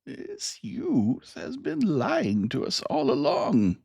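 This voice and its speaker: A man voicing a fanatical bearded old preacher who screams his accusations in a shrill voice. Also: huge pitch swings, takes it slowly, vocal fry, a little mellow, breathy edge, dry recording, clean studio sound, exaggerated voice. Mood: accusing.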